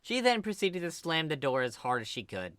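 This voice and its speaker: Bright-voiced woman reading a story dramatically. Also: low-pitched, brisk, no echo, studio quality. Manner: expressive, dramatic. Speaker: story reader. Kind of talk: dramatic scripted narration of fan fiction.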